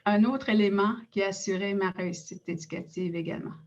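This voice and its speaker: A middle-aged Innu woman with a Quebec French accent, reading a prepared personal account in a measured way with frequent hesitations. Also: mid-range voice, a little mellow, highs cut off. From Innu community in Quebec. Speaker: director of an Innu cultural institute.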